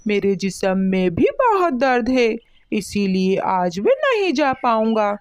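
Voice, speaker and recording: A woman plays a hardworking crow husband in an animated tale, fed up with doing everything. The voice is fairly high and swoops expressively at a slow pace. The delivery is emphatic and full of complaint. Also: smooth, no room sound. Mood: firm. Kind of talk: Hindi animated moral story dialogue.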